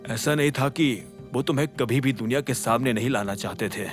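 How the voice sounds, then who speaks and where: Male narrator as loyal older confidant, grave, measured, audio drama dialogue, faint music underneath. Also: medium pitch, animated, pitched below the performer's own voice, lightly acted. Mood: grave, confiding.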